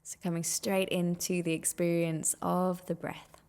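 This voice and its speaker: Woman guiding meditation, low, slightly creaky voice. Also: little pitch movement. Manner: gentle, soothing, unhurried with long pauses. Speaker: meditation guide. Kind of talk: guided meditation narration.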